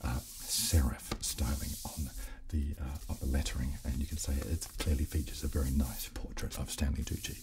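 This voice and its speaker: A man in his thirties hosting a soft ASMR chat close on a desk microphone, hushed, halting and polite. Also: rumbling low, steady tone, heavy background noise. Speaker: host of an ASMR channel. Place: small furnished studio room, indoors. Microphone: close desk condenser microphone.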